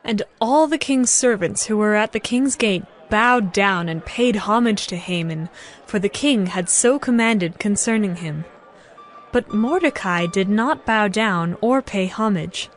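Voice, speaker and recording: Measured woman narrator over soft music. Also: mid-range voice, animated. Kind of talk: scripted dramatized scripture narration.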